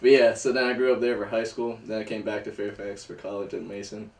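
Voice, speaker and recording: A man's voice: lowish voice, little pitch movement, fast. A man in his twenties, born in Nigeria and raised in Northern Virginia, chatting loosely as a podcast guest and laughing often. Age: 20s. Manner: laid-back, laughs often, loose filler words. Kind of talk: casual chat. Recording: echoey room, mic at a distance, faint noise.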